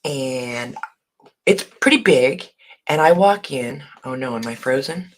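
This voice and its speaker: A hoarse woman who has partly lost her voice, chatting casually on a live stream and greeting viewers by name. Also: rumbling low, animated, slow pace, slight background noise. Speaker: online shop owner hosting a live video.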